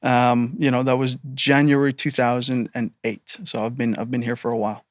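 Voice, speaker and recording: A man's voice: medium pitch, flat delivery, moderate pace, no room sound, clean studio sound. A man in his thirties from Barbados with a part Barbadian, part American accent, telling stories as a podcast guest and joking at his own expense with lots of fillers. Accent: Barbadian mixed with American. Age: 30s. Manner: joking, self-deprecating, with frequent fillers and restarts. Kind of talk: casual storytelling interview.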